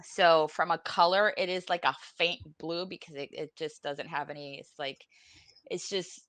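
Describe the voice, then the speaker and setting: A woman in her forties from Puerto Rico talks in a lowish, close and dry voice. She is informal, salty and blunt, with a weary edge, as a guest speaking personally on a panel. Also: fairly even intonation, normal speed, a bit bright, no room sound. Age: 40s. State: weary, emotionally exhausted. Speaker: podcast guest. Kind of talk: candid personal panel talk. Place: room at home, on a video call.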